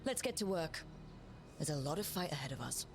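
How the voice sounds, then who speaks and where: A young heroine with a lowish voice, steady and resolute as she leads a fantasy game party. She speaks plainly and earnestly, with clear music underneath. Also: fairly quick.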